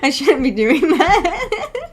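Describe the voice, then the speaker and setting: A woman's voice: very high-pitched, big pitch swings, takes it slowly. A woman hosting a casual livestream, reading out and answering viewer comments in a giggly, hesitant, self-effacing way. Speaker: livestream host.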